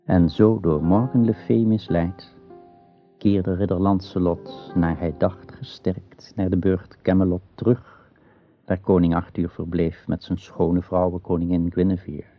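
A man narrating a radio play as a solemn storyteller of legend, in a measured, deliberate, literary manner. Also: low-pitched, level tone, muffled recording, faint music behind.

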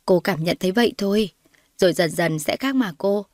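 A woman's voice: medium pitch, fairly quick, a little mellow, no room sound, studio quality, natural voice. Woman acting a kindly, comforting household nanny. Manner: comforting, respectful. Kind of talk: dramatized audio story.

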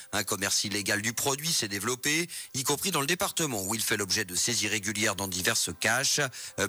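A man's voice: lowish voice, sharp tone, husky. A man with a French accent reading a radio news bulletin. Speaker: news reader.

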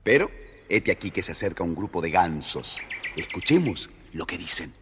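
A man's voice: low voice, very animated, normal speed, background noise. A man narrating a scripted children's story in a theatrical, playful way. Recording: reverberant studio, music behind.

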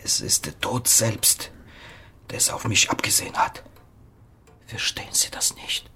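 Agitated, death-haunted man, lively pitch. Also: measured pace, breathy edge, exaggerated voice. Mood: haunted, intense. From Croatia. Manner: insistent, excitable, dropping into whispers. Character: agitated male patient convinced Death is hunting him. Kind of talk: radio play acting.

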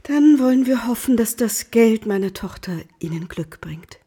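Breathy, gracious baroness. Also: female voice, on the high side, expressive, moderate pace, mellow. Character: gracious, beautiful middle-aged baroness and mother. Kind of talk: German radio drama acting.

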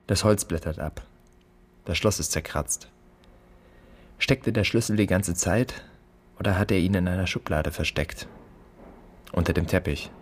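Pensive, searching man for radio drama; low voice, clipped observations, slight noisy ambience behind. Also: a little slow, long pauses. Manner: terse, observational, questioning. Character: pensive man inspecting a dead father's empty flat. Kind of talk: literary radio drama monologue.

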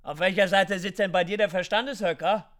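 An actor in a radio play playing a pompous, erudite young intellectual who philosophises drunkenly in a pedantic, declamatory, halting way. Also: male voice, high voice, steady tone, a bit bright.

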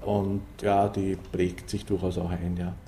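A man gives learned, wordy explanations in an interview. His voice is lowish and dark, and his intonation stays very flat. There is slight noise behind him. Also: unhurried. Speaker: interviewee, commentator on literature. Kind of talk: unscripted explanatory interview talk.

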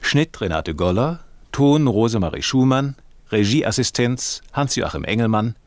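A man's voice: mid-range voice, animated, a little slow, dry recording. A man working as an announcer, reading out the credits of a radio play. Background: music.

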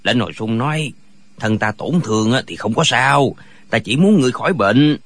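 A man playing a gruff, fretful old father from the martial-arts world in a dramatized audiobook, speaking emphatically. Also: medium pitch, animated, moderate pace, a bit bright, no echo. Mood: reporting. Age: older. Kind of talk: dramatized wuxia audiobook dialogue.

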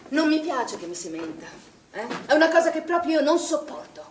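An actress playing a stern, probing swimming pool manager in a naturalistic stage drama, insistent and scolding in manner. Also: female voice, high-pitched, very animated, brisk. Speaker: actor. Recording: echoey, distant stage recording with noise.

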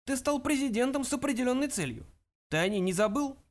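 A scornful ancient ghost of a great-grandfather, acted out by a male storyteller, creaky and high in pitch. He scolds sternly and pompously, like a grumpy storybook elder. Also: animated, fast. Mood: lofty.

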